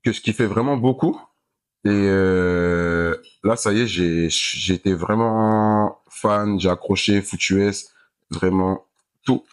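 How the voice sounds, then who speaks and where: A laid-back young man from France chatting as an interview guest in a low voice. He speaks in loose, rambling sentences, restarting and repeating himself with casual slang. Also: slow pace, smooth. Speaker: American football player, guest. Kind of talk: casual interview chat while playing a video game.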